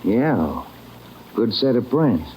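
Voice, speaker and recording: An actor playing a wry, grumbling police detective in a 1940s radio crime drama, with casual, short, dry replies. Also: male voice, medium pitch, very animated, takes it slowly, darkish tone. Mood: businesslike. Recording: noisy old radio recording.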